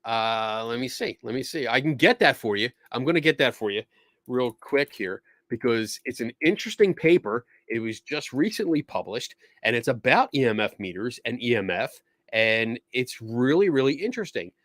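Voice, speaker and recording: A man hosting a live stream, answering viewer questions in a rambling, casual way with many fillers, and his voice is going hoarse. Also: mid-range voice, expressive, normal speed, fairly bright tone, dry recording. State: losing his voice, clearing throat.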